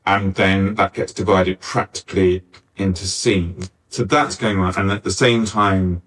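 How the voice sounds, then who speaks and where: A man's voice: sits low, steady tone, a little slow, fairly bright tone. A man talking informally as a panellist at a live discussion, on a distant backup recording. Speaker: panellist, pantomime scriptwriter. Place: arts centre venue, picked up from afar.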